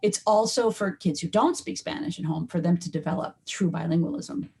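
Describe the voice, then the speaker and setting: A woman's voice: on the low side, animated, fairly quick, gravelly, a little mellow, husky. A woman linguistics professor answering interview questions in a firm, lecturing way.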